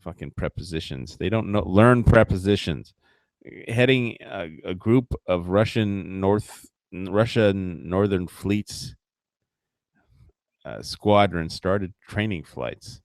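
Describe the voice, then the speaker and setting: A man with a low voice hosts a casual livestream, talking in loose, rambling commentary. He swears and mocks freely, filling gaps with uh and you know. The sound is dry and close to the mic. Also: slow, no room sound. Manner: profane, mocking, rambling with frequent fillers. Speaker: livestream host.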